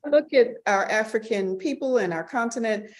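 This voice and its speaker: A woman's voice: on the high side, moderate pace. A woman hosting a casual interview, encouraging her guests and explaining with analogies. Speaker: podcast host.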